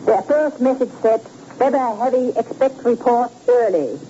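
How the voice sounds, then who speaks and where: Woman as dry, mellow-voiced radio crime accomplice. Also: fairly high pitch, expressive, normal speed, natural voice. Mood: dry, skeptical. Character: skeptical, worried female accomplice of a crime boss. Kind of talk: old radio drama acting. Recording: muffled old radio recording, faint noise.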